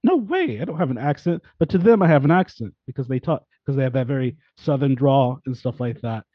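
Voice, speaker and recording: A man's voice: medium pitch, expressive, brisk, breathy edge, no echo, highs cut off. A man hosting a casual interview, rambling and interrupting himself as he chats. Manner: chatty, rambling, self-interrupting.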